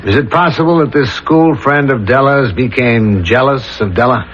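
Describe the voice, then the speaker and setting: An actor playing a dogged, methodical police inspector in a 1970s radio drama, questioning and reasoning aloud. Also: male voice, mid-range voice, a bit bright, slight background noise, natural voice. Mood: probing. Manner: probing, deliberate, methodical questioning. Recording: dull lo-fi old radio recording.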